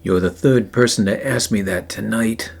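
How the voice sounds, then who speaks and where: An actor playing a hardboiled, wisecracking private detective, narrating a 1940s noir radio play in a deadpan, wry way. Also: male voice, fairly low pitch, normal speed, vocal fry. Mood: wry, battered.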